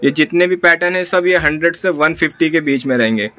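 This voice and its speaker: A man's voice: on the high side, fast, background noise. A man with an Indian accent hosting a shopping vlog, talking to viewers and repeating back what he is told. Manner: repeats the seller's answers back. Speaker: vlog host. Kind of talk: market shopping vlog, interviewing a seller and addressing viewers. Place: small clothing shop, indoors.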